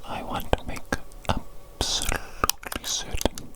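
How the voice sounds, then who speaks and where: A mad evil doctor, acted out by an ASMR performer speaks in a hushed whisper. The delivery is very slow and repetitive, fussing tenderly like a doting creator, with scorn for ordinary humans. It is a medical roleplay meant for sleep. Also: close to an everyday voice.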